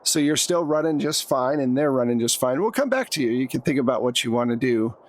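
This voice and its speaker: A man's voice: medium pitch, animated, a little fast. A man acting as game master, narrating scenes to players in a conversational way and asking them for rolls. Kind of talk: narrating a tabletop roleplaying game.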